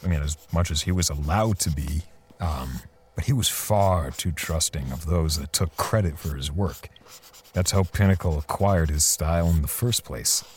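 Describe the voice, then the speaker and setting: A man playing a bitter, defensive portrait artist in an audio drama, speaking haltingly with sighs and pauses. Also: a bass voice, breathy edge. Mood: weary, brooding. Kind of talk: audio drama dialogue and first-person narration.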